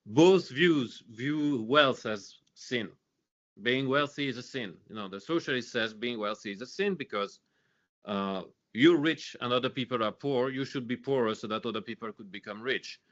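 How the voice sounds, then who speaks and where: A man's voice: medium pitch, normal speed, a bit bright. A man from Belgium, giving an earnest teaching talk with many restarts. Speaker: speaker. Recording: dull lo-fi sound.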